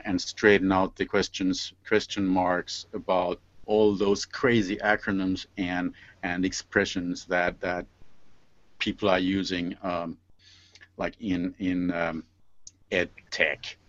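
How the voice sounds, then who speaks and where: Male panel host, low register, unscripted and halting, close dry sound on a slightly muffled call. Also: little pitch movement, no echo. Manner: hesitant, stop-and-start phrasing. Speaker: host of an online panel show. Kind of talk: informal unscripted hosting. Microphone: online video call. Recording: slightly dull, lo-fi online video call.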